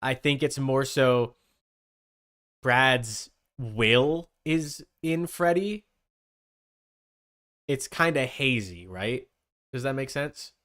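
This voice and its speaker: Smooth-voiced man chatting on stream. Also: mid-range voice, lively intonation, drawn-out words, long pauses, fairly bright tone. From Canada. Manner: rambling, thinking aloud. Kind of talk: casual livestream chat.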